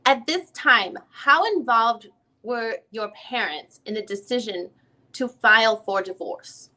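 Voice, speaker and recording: A woman reading the part of a female lawyer who questions a witness in a deposition, in halting, rephrased questions. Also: a little high, bright, forceful tone, low-quality recording. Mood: probing. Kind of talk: dramatic reading of a legal deposition transcript.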